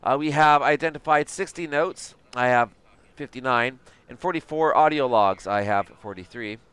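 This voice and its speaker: A man in his thirties doing casual livestream game commentary, halting as he thinks aloud and reads notes. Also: mid-range voice, fairly bright tone, smooth, dry recording. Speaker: game streamer.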